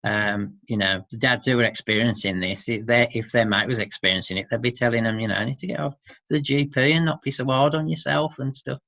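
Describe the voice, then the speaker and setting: A man's voice: mid-range voice, fairly even intonation, a little fast, creaky, a bit bright. A man answering interview questions about his work in an informal, thoughtful, hesitant way. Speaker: interviewee, support service worker for dads. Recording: muffled, roomy lo-fi sound.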